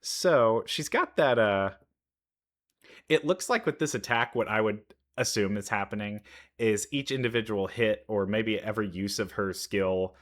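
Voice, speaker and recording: A man's voice: fairly low pitch, measured pace, fairly bright tone. A man giving casual reaction commentary, thinking aloud with analytical asides. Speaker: reaction video host.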